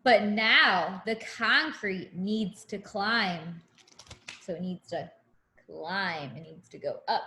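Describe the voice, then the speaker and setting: A woman reading a picture book aloud to young children as a teacher, in an expressive, deliberate way with simple explanations. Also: medium pitch, a little hiss or hum. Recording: echoey room.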